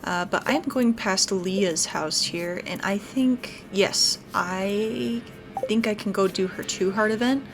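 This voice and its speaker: A woman hosts a gaming video with casual, think-aloud commentary. Her voice sits at an ordinary middle pitch for a woman, and game music plays clearly behind her as she talks through each step. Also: slow pace. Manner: narrates own actions aloud. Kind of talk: casual let's play commentary.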